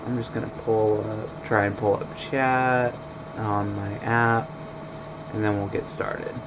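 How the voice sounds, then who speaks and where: A man commenting on his game stream in a flat, monotone way, taking his time with a slow, unhurried pace. His voice sits on the lower side. A clear air-conditioning hum runs underneath. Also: lo-fi sound. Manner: monotone, laid-back with fillers. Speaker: let's play streamer. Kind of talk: casual gameplay commentary.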